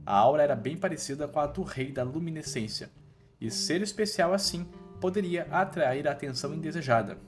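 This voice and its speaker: A man's voice: fairly high pitch, brisk, music in the background. A man with a Brazilian accent reading a scripted story recap in an informal, slangy, playful storytelling style. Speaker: narrator of comic recap videos.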